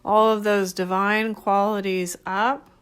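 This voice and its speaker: Slow, even-toned woman guiding meditation. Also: mid-range voice, clear, resonant tone. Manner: gentle, soothing, unhurried with short phrases. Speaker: meditation guide. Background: background music.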